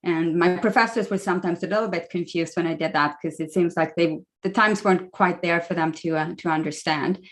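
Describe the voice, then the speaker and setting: A woman's voice: lowish voice, steady tone, fairly quick, few pauses. Swedish-accented woman presenting on a call. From Sweden. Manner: friendly, chatty, many fillers. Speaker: guest speaker, nutritionist. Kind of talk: presenting slides on a video call. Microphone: video call.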